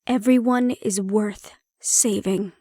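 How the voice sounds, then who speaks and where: A woman playing a prickly but caring handler in an intimate audio roleplay, speaking in a measured, probing way. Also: mid-range voice, fairly even intonation, dark tone, no room sound, clean studio sound. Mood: gentle, sympathetic. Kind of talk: intimate audio roleplay acting, one-sided conversation.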